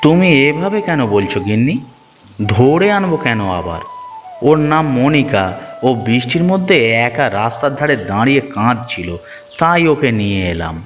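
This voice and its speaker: A man's voice: mid-range voice, very animated, normal speed, noisy background, lightly acted. A man voicing a kind-hearted village fisherman in a cartoon story, concerned and coaxing, with music behind. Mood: pleading. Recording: background music.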